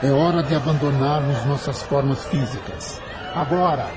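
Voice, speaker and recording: A man's voice: medium pitch, moderate pace, a crowd in the background, close to an everyday voice, poor audio. A man with a Brazilian accent playing a commanding spirit in an audio drama, grave and declamatory. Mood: commanding, triumphant. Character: commanding spirit witnessing a planet's fiery purge.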